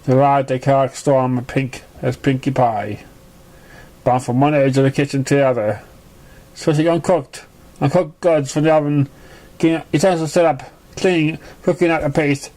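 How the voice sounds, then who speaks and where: A man reading a story aloud as narration, halting and often stumbling over the words. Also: mid-range voice, fairly even intonation, a little mellow. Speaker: amateur fan fiction reader.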